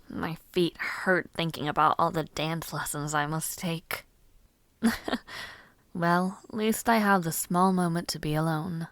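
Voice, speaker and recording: A young woman voicing a spirited young noblewoman mage, giggly and formally spoken, with soft whispered asides, for an audio roleplay. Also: on the low side, gravelly, no room sound, full character voice. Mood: musing.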